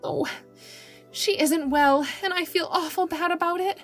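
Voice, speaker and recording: A woman's voice: extremely high pitch, a little slow, fairly bright tone, faint music behind. A woman voicing an anxious, devoted young maidservant girl in an audiobook, with an earnest, deferential, folksy rural way of talking. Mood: distressed, fretful. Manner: rustic folksy dialect, deferential, breathlessly earnest. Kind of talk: audiobook character acting.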